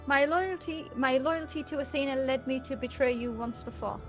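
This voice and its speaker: A woman's voice: high-pitched, moderate pace. A woman voicing a proud, devoted keeper of a fantasy prison, formal, earnest and deferential. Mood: humbled, resolute. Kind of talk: dramatic reading of fantasy game dialogue. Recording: music under the voice.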